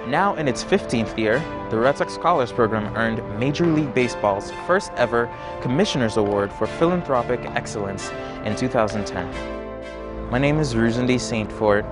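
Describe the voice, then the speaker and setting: A man's voice: medium pitch, lively intonation. Earnest young man over music. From Haiti. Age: 20s. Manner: earnest, measured, reflective. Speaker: scholarship student in a feature. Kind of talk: documentary feature interview. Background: music bed.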